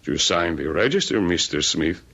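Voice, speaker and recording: A polite, fussy hotel desk clerk in a radio play, with a low voice that moves in lively swoops. He is formal and deferential, often hesitating, on a dull, lo-fi recording. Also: moderate pace, creaky, heavily acted. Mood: obliging, careful. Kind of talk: old-time radio drama acting.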